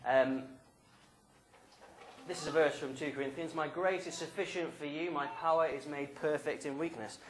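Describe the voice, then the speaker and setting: A young man talks to a youth group in a small church hall, holding a handheld mic. His voice sits a little high, and he keeps a playful, joking tone like a game-show host. A noticeable hiss and room noise run underneath. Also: steady tone, normal speed. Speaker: youth leader. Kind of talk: lively talk to a youth group. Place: small church hall with stage and drum kit.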